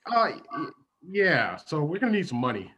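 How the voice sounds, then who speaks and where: A woman's voice: low-pitched, sing-song, moderate pace, a bit bright, breathy edge, exaggerated voice. A woman improvising a comedy sketch as an awkward, exasperated shop clerk chasing payment, hesitant and stumbling.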